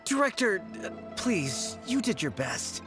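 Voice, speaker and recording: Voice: medium pitch, sing-song, a little slow, music in the background. An actor voicing a deferential, cautious funeral parlor employee in a video game, polite and hesitant. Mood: apologetic, doubtful. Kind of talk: English video game voice acting.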